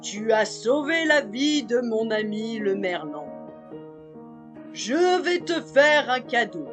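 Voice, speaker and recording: Storybook sea monarch: huge regal crab, played by a female narrator, solemn, grateful, strong swings in pitch, music underneath. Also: expressive, takes it slowly. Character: grateful, majestic giant yellow crab king of the sea.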